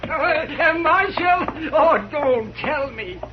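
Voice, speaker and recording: An actor playing a fussy, wry old frontier doctor in a 1950s radio drama. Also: male voice, extremely high pitch, lively intonation, slow, crowd noise, full character voice. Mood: flustered. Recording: dull old radio recording.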